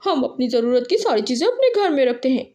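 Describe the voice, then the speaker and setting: A woman voicing a rude, selfish, scornful male crow with a quick temper in a cartoon. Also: sits high, big pitch swings, dark tone. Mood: angry, scornful. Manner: scornful, complaining. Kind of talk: Hindi cartoon character acting.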